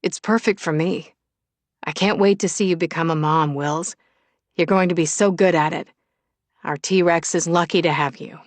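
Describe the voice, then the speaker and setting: A woman's voice: lowish voice, steady tone, moderate pace, no echo, natural voice. A woman voicing a devoted young firefighter husband in an audiobook, earnest and tender.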